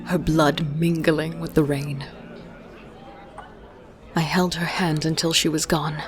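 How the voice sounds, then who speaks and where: An actress playing a guarded, quietly confident healer in a fantasy audio drama, with a deliberate, hushed delivery. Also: female voice, deep voice, little pitch movement. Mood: grieving. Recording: music playing behind.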